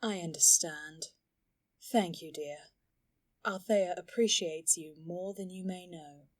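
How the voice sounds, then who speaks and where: A woman playing a middle-aged elf noblewoman and mother in a fantasy audio drama, measured, composed and dryly teasing. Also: on the low side. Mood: gracious, teasing.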